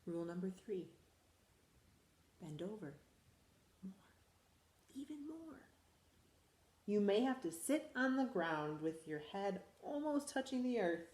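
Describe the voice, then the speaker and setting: A school principal, a woman, reading a picture book aloud to children in a lively, expressive way. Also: mid-range voice, slow pace, pauses often, reverberant. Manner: animated, expressive storytelling to children.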